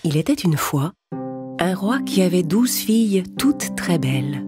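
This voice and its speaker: A woman narrating children's fairy tales from a script in a measured, expressive storytelling manner. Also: fairly low pitch. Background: music under the narration.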